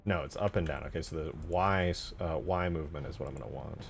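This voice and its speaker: Low-voiced man thinking aloud. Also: fast talker, creaky. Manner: low-key, informal, muttering to himself while working. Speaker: game modder streaming his work. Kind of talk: casual livestream commentary, thinking aloud. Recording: music behind the voice. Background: music.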